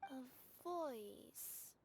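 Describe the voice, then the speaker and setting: A woman voicing a friendly, playful young cat-spirit delivery girl in an anime video game, chatty, excitable and a little flustered. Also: sits high, drawn-out words, slightly rough, heavily acted. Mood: sheepish.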